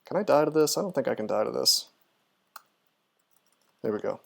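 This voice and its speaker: A man's voice: medium pitch, expressive, moderate pace, gravelly, fairly bright tone. Man doing casual game-stream commentary. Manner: thinks aloud, chatty. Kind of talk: casual live gameplay commentary, talking through moves and answering chat.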